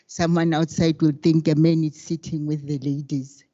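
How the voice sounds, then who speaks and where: An older South African woman activist with a very deep voice, introducing herself on a panel in a slow, rousing, declamatory way. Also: flat delivery, warm tone, no room sound. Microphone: handheld microphone. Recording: dull lo-fi sound.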